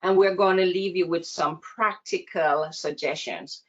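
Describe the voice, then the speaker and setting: A woman's voice: lowish voice, steady tone, a little slow. Jamaican-accented woman presenting a webinar. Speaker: counseling presenter. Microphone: video call. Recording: dull lo-fi video call audio.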